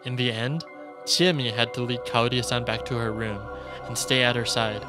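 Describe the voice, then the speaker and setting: A man's voice: medium pitch, fairly even intonation, moderate pace. A man streaming a game, reading story text aloud and adding his own commentary, with game music behind him. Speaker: streamer playing a visual novel. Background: video game music.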